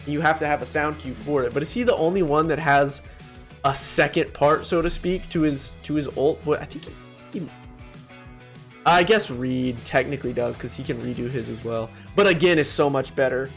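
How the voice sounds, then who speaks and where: Higher-pitched guy, slangy gaming commentary over music. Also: normal speed. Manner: slangy and blunt, addresses viewers as bro. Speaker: gaming video host. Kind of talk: casual opinionated ranking commentary.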